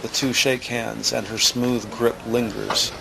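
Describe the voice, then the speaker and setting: A man narrating scenes from a script in a deliberate, descriptive way. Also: medium pitch, flat delivery, vocal fry, noisy background.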